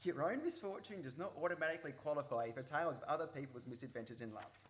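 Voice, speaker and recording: A man acting an awkward, caring young Australian friend in a radio play, exasperated but loyal, speaking in a halting way. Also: a little high, expressive, natural voice. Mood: flustered. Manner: halting, self-correcting. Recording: noisy live radio play recording.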